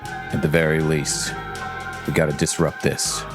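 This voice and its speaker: A man's voice: extremely low pitch, little pitch movement, unhurried. A man playing a grim, resolute adventurer in a tabletop fantasy game, speaking in a gritty manner. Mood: grim resolve. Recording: loud music bed.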